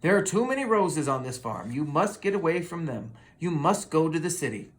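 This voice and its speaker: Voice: mid-range voice, sing-song, normal speed, lightly acted. A knowing male doctor in a children's storybook, voiced by a reader. He is sure of himself and hands out his instructions with firm emphasis.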